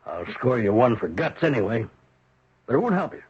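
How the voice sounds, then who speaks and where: An American actor playing a wealthy, controlling middle-aged father in a 1970s radio drama, condescending and menacing, with a husky voice. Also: mid-range voice, big pitch swings, brisk. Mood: quietly threatening. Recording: dull, muffled vintage radio recording.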